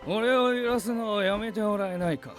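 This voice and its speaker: Guy doing cool know-it-all anime knight, highish voice, dry and detached, soft music behind. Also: normal speed, close to an everyday voice. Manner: dry, formal, pedantic. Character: cool, aloof, analytical young male knight. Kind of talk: anime voice drama acting.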